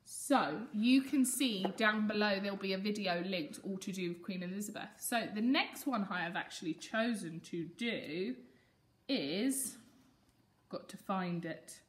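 A woman's voice: mid-range voice, a little slow, slight background noise. A young woman reading stories aloud to young children like a teacher, expressively and with explanatory emphasis. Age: young adult. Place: school classroom reading corner, indoors.

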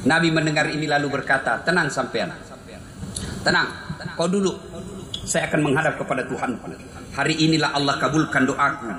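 A middle-aged man with an accent from Sulawesi, preaching a Friday sermon at a stand mic, telling stories and firing rhetorical questions, swinging between loud and hushed. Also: a little high, brisk, long pauses. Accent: Indonesian from Sulawesi. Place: mosque stage, indoors. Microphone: stand mic at lectern. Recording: echoey hall.

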